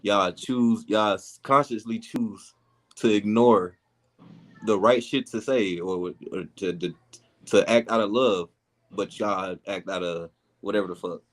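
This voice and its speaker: A man's voice: fairly low pitch, measured pace. An American man speaking informally as a panelist in a casual discussion.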